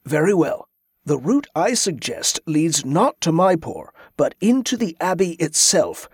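Narrator doing a calm formal monk, measured, dry close sound. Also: male voice, on the high side, slightly rough, dry recording, heavily acted. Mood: explaining.

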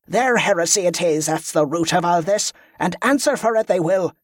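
A man's voice: high voice, lively intonation, normal speed, no echo, clean studio sound, voice pushed up. A man voicing a stern, scornful female military commander who gives clipped orders in inverted dialect phrasing, in a fantasy audiobook. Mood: stern, bitter.

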